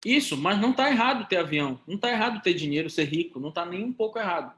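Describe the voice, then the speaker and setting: A man in his thirties with a Paraense Brazilian accent teaches a law class, firing rhetorical questions and addressing his students directly. His voice sits a little high for a man. The sound carries a noticeable room echo. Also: expressive, fast talker, fairly bright tone. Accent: Paraense Brazilian Portuguese. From Pará, Brazil. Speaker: law lecturer. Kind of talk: recorded law lesson.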